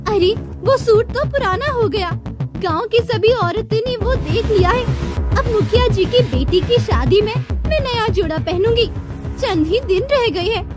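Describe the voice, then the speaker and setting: Very high-pitched greedy village wife played by a woman. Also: lively intonation, unhurried. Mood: nagging, vain. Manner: demanding, complaining. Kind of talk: cartoon moral story dialogue. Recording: loud music bed behind voice. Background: music.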